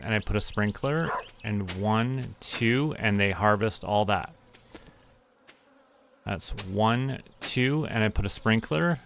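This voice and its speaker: A young man streaming a relaxed game, talking to himself and counting under his breath as he plans. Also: fairly low pitch, slight background noise. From Canada. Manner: muttering, counting to himself while planning. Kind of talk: relaxed gameplay commentary.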